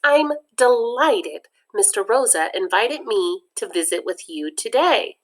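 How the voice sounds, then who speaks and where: A woman's voice: medium pitch, animated, a little slow, pitched above the performer's own voice. A woman doing a chirpy cheerful lady teacher. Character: cheerful bright female teacher and cartoonist. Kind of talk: storybook read-aloud.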